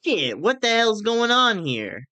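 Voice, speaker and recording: A woman voicing a rough, foul-mouthed young male student from a game, gruff and agitated. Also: fairly high pitch, big pitch swings, a little slow, bright, forceful tone, no room sound, exaggerated voice.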